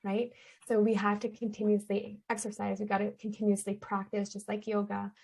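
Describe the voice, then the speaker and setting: A woman's voice: medium pitch, completely flat delivery, brisk, gravelly, darkish tone, husky, poor audio. A woman from Canada, a guest on an interview podcast, earnestly explaining her spiritual healing practice in a conversational way. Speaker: interview guest, shaman and energy healer.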